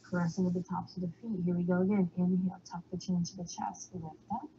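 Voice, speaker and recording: Yoga teacher, woman, slight Texan lilt, calm and soothing, low-pitched and very mellow, on a slightly dull recording. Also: quick pace, a little hiss or hum. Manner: calm, soothing cues, breathy whispered exhales. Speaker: yoga instructor. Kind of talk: guided yoga class.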